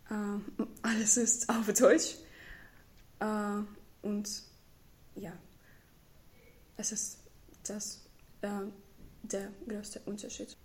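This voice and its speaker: A woman's voice: mid-range voice, little pitch movement, drawn-out words, long pauses. A fifteen-year-old girl with a Ukrainian accent gives hesitant interview answers, searching for words. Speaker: interviewee, refugee student.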